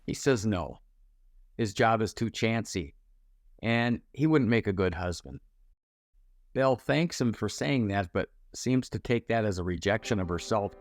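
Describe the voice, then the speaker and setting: A man with a fairly low voice narrates a television retrospective. The delivery is scripted storytelling, with faint guitar music behind him. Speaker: narrator of a TV review video.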